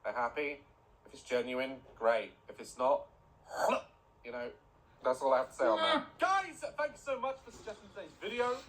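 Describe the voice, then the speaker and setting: A man's voice: mid-range voice, big pitch swings, normal speed, rough recording. A man hosting a casual reaction video, blunt and sweary with joking rants, at home with building work nearby. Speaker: YouTube reaction host. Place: home, bathroom being redone nearby. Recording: loud building noise behind.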